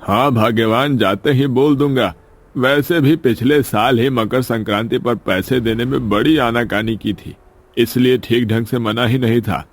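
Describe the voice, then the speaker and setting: A man's voice: mid-range voice, a little slow. Man playing a humble, weary old labourer. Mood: resigned. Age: older. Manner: pleading, deferential. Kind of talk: Hindi moral-story cartoon dubbing.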